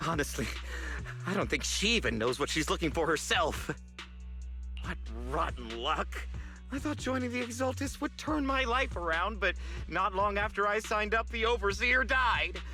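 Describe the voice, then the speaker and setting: Whiny, high-pitched hapless henchman. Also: moderate pace, sharp tone, soft background music. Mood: weary complaining. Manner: grumbling, self-pitying. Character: luckless male low-rank henchman, whiny and resigned. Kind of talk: dubbed anime game voice acting.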